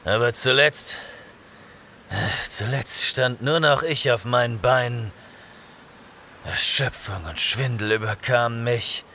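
A man acts a young barbarian warrior for an audio drama. His voice is forceful and gravelly, brooding in slow, halting phrases, exhausted yet intense. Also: mid-range voice, slight background noise. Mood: dazed wonder. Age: young adult.

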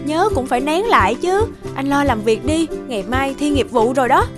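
A sweet young cartoon girlfriend with a high voice. She is polite, sensible and gentle, with clear music playing underneath. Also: animated, normal speed. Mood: gently chiding. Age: young adult. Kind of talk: cartoon voice acting.

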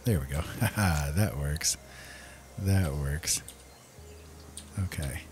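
A man's voice: a bass voice, slow pace, darkish tone, faint music behind. A man hosting a gaming video with casual, playful, chatty commentary, often talking to himself.

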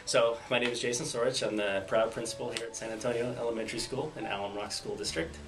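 A man's voice: medium pitch, fairly even intonation, quick pace, poor audio. A man in his thirties with an American accent, a school principal giving an interview testimonial. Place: office with whiteboard, indoors. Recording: clear music behind the voice.

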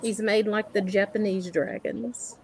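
Middle-aged woman, mid-pitched, relaxed; headset call with faint noise. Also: a little slow, low-quality recording. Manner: relaxed, descriptive, talks through item details. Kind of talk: casual live-stream product talk. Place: home room. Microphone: headset on a video call.

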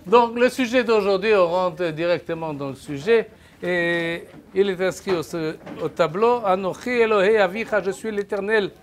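An older man teaching a Bible class in a lecture room with no microphone, speaking haltingly with many restarts and raising his voice to carry. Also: high voice, a little hiss or hum. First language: French. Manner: halting, many restarts and repeated words, raises his voice to be heard. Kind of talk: lecture to a live audience. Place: lecture room with projector screen. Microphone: no microphone, distant camera.

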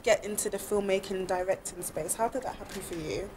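Woman hosting, warmly encouraging her guest. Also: medium pitch, a little fast, slight background noise, poor audio. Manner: encouraging, frequent affirming murmurs. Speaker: talk show host. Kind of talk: interview hosting. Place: studio set, seated.

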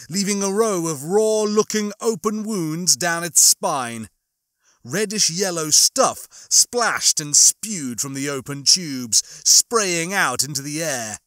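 A man reading a horror novel as an audiobook narrator, in a dramatic, emphatic style with drawn-out phrases. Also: on the high side, pushed and bright, no room sound, clean studio sound. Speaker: audiobook narrator and channel host.

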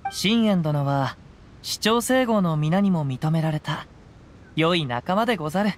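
A man voicing a calm young wandering samurai in a game, speaking in formal old-fashioned phrasing. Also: fairly high pitch, measured pace, a little hiss or hum. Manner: formal archaic speech, gentle and reflective. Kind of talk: game voice acting.

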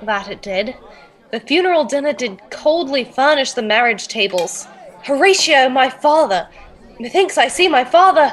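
A woman's voice: high voice, expressive, moderate pace, some chatter behind. A woman playing a grieving, brooding young royal in formal Shakespearean speech for a role-play podcast. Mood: bitter, mournful. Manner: formal archaic theatrical speech.

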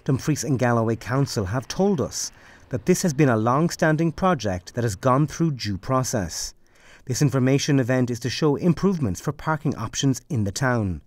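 A young man narrates a local news report in a measured, formal voice. The sound is close and dry, with no room in it. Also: medium pitch, expressive, a little mellow, dry recording.

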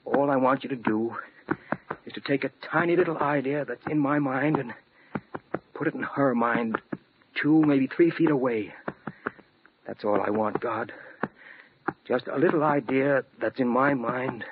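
An actor playing a desperate mute patient, old radio. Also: male voice, mid-range voice, measured pace, pauses often, lightly acted. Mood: hushed. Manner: pleading, breaking into anguished outbursts. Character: mute bedridden wounded man, desperate inner voice. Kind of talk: 1940s radio drama inner monologue. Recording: muffled old radio recording with hiss.

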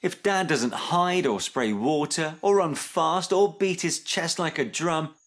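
A man's voice: medium pitch, very animated, normal speed, pitched above the performer's own voice. A man voicing a young boy crocodile in a children's story, wistful, hesitant and childlike. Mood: glum, plaintive. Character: young boy crocodile, wistful and unsure about his dad.

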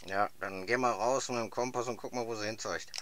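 A man's voice: on the low side, fairly even intonation, fairly quick, very noisy. A man chatting casually as a radio amateur over a radio link. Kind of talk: casual two-way radio chat.

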